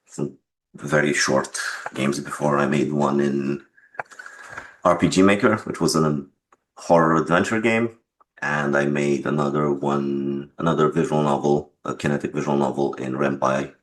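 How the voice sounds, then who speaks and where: A man answering interview questions as a game developer and writer, explaining things in a measured way. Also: extremely low pitch, slight background noise.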